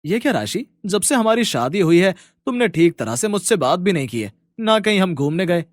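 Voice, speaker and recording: Man acting an animated, coaxing young husband. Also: high voice, brisk, dry recording, clean studio sound. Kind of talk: moral story character dialogue.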